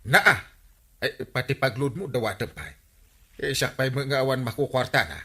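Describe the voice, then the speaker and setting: A man's voice: medium pitch, moderate pace, sharp tone, slightly rough. A man with an Ilocano accent playing an exasperated village captain who nags idle neighbors, in radio comedy drama style.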